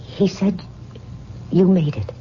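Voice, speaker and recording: An actress playing a frightened young fiancée tormented by a spell, in a melodramatic, pleading old-time radio style. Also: female voice, on the low side, slow, warm tone. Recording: muffled, noisy old radio recording.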